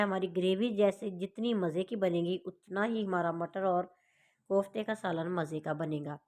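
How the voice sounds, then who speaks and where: A woman with a sore throat casually narrates a recipe step by step, addressing her viewers directly as a home cook hosting her channel. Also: mid-range voice. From Pakistan. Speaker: home cooking channel host.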